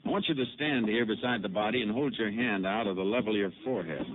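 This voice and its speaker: An actor playing a calm, methodical male Texas Ranger detective in a 1950s radio drama, asking measured questions. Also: fairly low pitch, natural voice. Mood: steady, probing. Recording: tinny lo-fi old radio broadcast with faint hiss.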